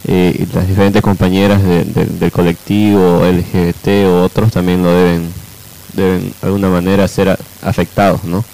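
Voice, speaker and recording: Low-voiced male radio host. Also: little pitch movement, normal speed, creaky, dark tone, poor audio. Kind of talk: informal radio talk.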